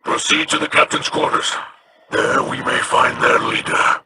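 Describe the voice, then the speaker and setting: An actor playing a stern, solemn old alien warrior captain who speaks formally and gives commands in a video game. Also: male voice, medium pitch, level tone, unhurried, background noise, lightly acted. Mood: commanding. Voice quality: gravelly, formal.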